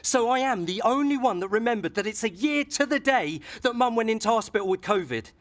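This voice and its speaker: An angry young son in a radio soap, his voice pitched high and swinging sharply. He is sarcastic and confrontational, with bitterness under every line. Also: lively intonation, a little fast. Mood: bitter, angry.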